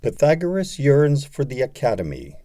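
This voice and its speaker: A man reading poetry aloud as an audiobook, in a dramatic recitation with measured, deliberate phrasing and pauses. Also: mid-range voice, wildly swooping pitch, warm tone. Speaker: audiobook reader.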